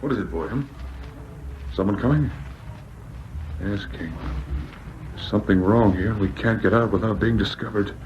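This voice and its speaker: Man acting a steady 1940s radio lawman, noisy recording. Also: fairly low pitch, highs cut off, lightly acted. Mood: puzzled, wary. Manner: deliberate, musing aloud. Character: steady, observant Yukon police sergeant talking to his dog.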